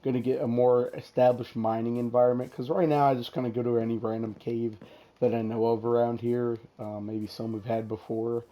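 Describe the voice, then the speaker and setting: A man with a hoarse, rough voice from being sick, talking casually over gameplay as the channel host, rambling with lots of fillers and restarts. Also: mid-range voice, little pitch movement, fairly quick, lo-fi sound. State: hoarse, losing his voice from illness.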